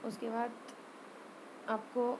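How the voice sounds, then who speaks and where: A woman giving an informal step-by-step craft tutorial voice-over, hesitant and often pausing mid-sentence. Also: fairly high pitch, flat delivery, background noise. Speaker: rangoli art tutorial presenter.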